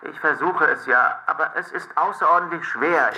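An actor playing an earnest, defensive adult son in a radio drama, pleading yet restrained. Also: male voice, on the high side, moderate pace, pushed and bright, rough recording.

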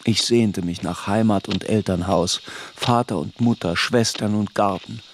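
A man's voice: lowish voice, fairly even intonation, a little slow, a little hiss or hum. An actor narrating as a reflective, melancholy man looking back on his youth. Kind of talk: scripted first-person literary narration.

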